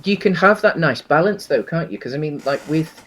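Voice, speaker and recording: A British man co-hosting a casual discussion of films and games, speaking in a halting way with frequent pauses mid-sentence. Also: on the high side, lively intonation, a bit bright. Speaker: podcast co-host.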